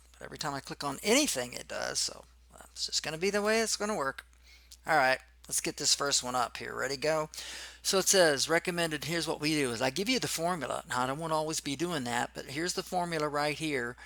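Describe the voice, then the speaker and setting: A hoarse man teaching as a machining instructor in a casual, rambling screen-recorded tutorial full of fillers. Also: on the high side, sharp tone, no echo.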